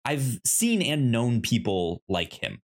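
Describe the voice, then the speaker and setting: A man co-hosting a casual discussion, speaking haltingly and stuttering on repeated sounds and words. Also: mid-range voice, big pitch swings. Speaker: podcast co-host. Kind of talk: casual chat, film discussion.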